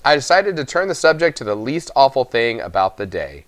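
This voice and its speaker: A man's voice: medium pitch, sing-song, a little fast, no echo. A man narrating a children's book expressively as a story reader.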